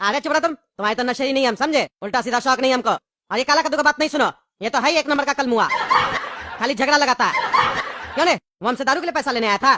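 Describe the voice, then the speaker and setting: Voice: high voice, noisy background, natural voice. A performer voicing an exasperated pumpkin father in a Hindi cartoon, scolding and dismissive. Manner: scolding, dismissive, fast-talking. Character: exasperated, grumbling pumpkin father, adult male.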